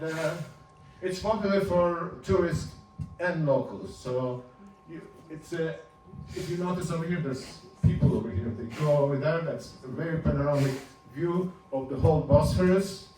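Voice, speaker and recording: A man in his seventies, a native Ladino speaker from Turkey, giving an informal talk over slides, halting and restarting with small chuckles. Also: fairly high pitch, darkish tone. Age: 70s. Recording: roomy, distant, faint hum.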